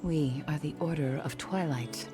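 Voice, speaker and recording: A woman playing a devout, proud and stubbornly loyal sect follower in a video game cutscene, with solemn, measured phrasing. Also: sits low. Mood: reverent, earnest. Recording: prominent game music behind the voice.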